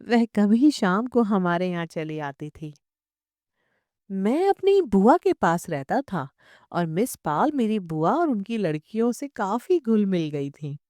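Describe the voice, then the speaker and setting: A woman reading a literary short story as an audiobook narrator, in measured, expressive storytelling. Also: medium pitch, no echo, studio quality.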